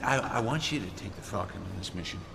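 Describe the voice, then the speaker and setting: Gruff space smuggler in a radio play, creaky voice, big reverb, bit of noise. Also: male voice, lowish voice, expressive, fast talker, sharp tone, full character voice. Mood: earnest concern. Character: gruff, sincere space smuggler pilot, grown man. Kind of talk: radio drama acting. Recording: long reverb, faint background noise.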